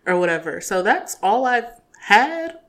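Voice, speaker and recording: A woman in her twenties chats as a podcast guest. She is relaxed and giggly and fills her talk with ums and likes. Her voice has an everyday mid pitch. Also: measured pace. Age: 20s. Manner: relaxed, giggly, uses fillers. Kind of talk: casual chat.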